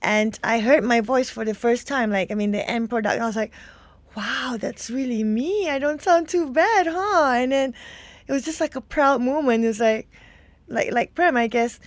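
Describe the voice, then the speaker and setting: A woman's voice: on the high side, moderate pace, dry recording. A woman, an actress chatting bubbly in an interview. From Malaysia. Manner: bubbly, chatty, lots of fillers. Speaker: actress guest. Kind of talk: casual interview chat.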